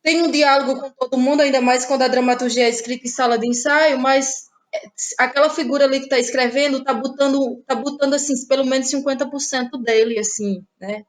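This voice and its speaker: A young woman in her twenties with a northeastern Brazilian accent from Ceará speaks in a fairly high voice. She talks reflectively as an interview guest, feeling her way through thoughts and often checking in with small tag questions. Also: steady tone, quick pace. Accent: Cariri Cearense, northeastern Brazilian. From Cariri, Ceará, Brazil. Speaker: guest playwright and actress.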